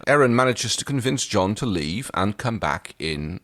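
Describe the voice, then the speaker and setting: A man with a low voice gives casual commentary as a podcast co-host. His manner is wry and understated, and the sound is dry with very little room to it. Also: lively intonation, normal speed, a bit bright, dry recording. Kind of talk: casual episode recap and commentary.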